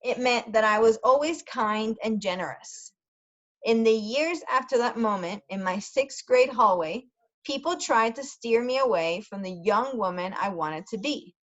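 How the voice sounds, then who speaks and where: A woman's voice: a little high, expressive, measured pace, fairly bright tone, dull audio. A woman tutor reading a story aloud for language learners, carefully repeating key words and adding short translations. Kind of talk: slow read-aloud of a story for English learners, with brief Spanish glosses.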